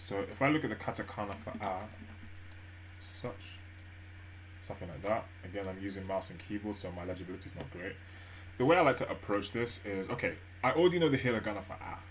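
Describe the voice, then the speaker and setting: A man live-streaming his language study, thinking aloud with many fillers and restarts at a gentle pace. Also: on the low side, animated, fast talker, a little hiss or hum, low-quality recording. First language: English. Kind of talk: relaxed study stream narration.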